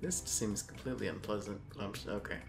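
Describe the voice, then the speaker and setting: A man's voice: lowish voice, fast talker. A man co-hosting a casual comedy read-aloud, commenting with jokey, exaggerated reluctance and breaking into chuckles. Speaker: co-host reading monster encyclopedia entries. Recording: guitar music playing behind. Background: guitar music.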